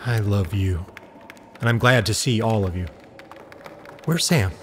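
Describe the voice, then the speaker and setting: A man playing an earnest, rational scientist in an audio drama, speaking in a deliberate, emphatic way. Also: lowish voice, slight background noise, lightly acted. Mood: tender, concerned.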